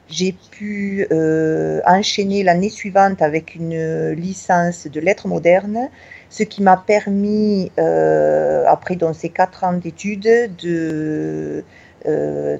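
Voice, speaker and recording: A middle-aged woman from southwest France with a southwest French accent, a teacher answering interview questions slowly and hesitantly, with many filler pauses. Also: fairly low pitch, creaky, mellow, dull audio. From Tarn, southwest France. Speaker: teacher at a Bavarian high school.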